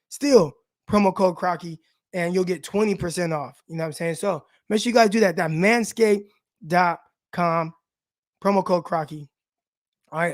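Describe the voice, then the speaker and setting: Sports stream host, male, raspy, slangy and direct, pitched high, close dry mic. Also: no room sound. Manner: loose, slangy, addresses viewers directly. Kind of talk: casual live-stream sports talk.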